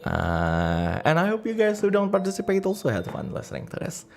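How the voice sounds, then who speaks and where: A man hosting a livestream in casual chat, halting and rambling as he searches for words, with as his language. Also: high-pitched, wildly swooping pitch, creaky, a little mellow. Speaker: livestream host. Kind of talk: casual livestream chat, reading donations and comments. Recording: music playing behind the voice. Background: background music.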